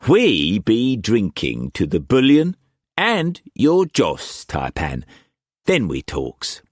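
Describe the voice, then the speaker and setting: A man voicing a boisterous pirate chief who speaks rough Cockney slang, jovial but menacing. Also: fairly low pitch, huge pitch swings, slow, no echo, studio quality, pitched above the performer's own voice, heavily acted.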